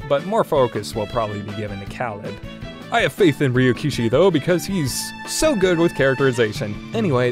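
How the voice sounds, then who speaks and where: A man hosting a solo review podcast, talking casually and getting animated when he is excited. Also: mid-range voice. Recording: music playing underneath. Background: guitar music.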